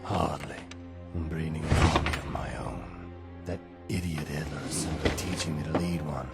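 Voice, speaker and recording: An actor voicing a cruel, mocking ancient vampire lord in a game cutscene, slow and contemptuous. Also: male voice, level tone. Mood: sneering. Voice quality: deep and gravelly. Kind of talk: video game cutscene acting. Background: background music.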